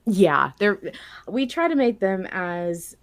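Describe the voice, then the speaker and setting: A woman's voice: mid-range voice, very animated, takes it slowly, dry recording. A woman hosting a podcast in a casual, conversational style. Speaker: podcast host.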